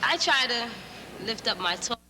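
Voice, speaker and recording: A young woman talks casually to an interviewer. Her voice is a little high, and there is clear noise on the recording. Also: lively intonation, moderate pace, pushed and bright. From Brooklyn, New York. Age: teens to young adult. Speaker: drama student. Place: large school rehearsal hall. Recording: noisy, worn tape copy.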